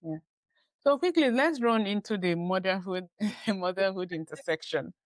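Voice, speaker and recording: A woman's voice: mid-range voice, normal speed, no echo. A woman podcast host in a conversational interview, encouraging, often murmuring agreement and laughing easily.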